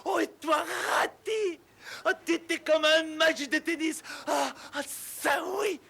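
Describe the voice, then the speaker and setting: A man voicing a vain, boastful, excitable toad gentleman in a cartoon dub, theatrical and exclamatory. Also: very high voice, takes it slowly, gravelly, full character voice. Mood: gushing, excited. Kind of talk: French cartoon dub acting.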